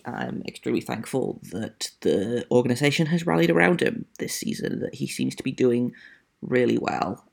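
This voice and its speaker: A man's voice: medium pitch, creaky, a little mellow. A man hosting a solo sports podcast in a casual, dry and wry way, with an accent that is not American. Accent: non-American. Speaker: podcast host.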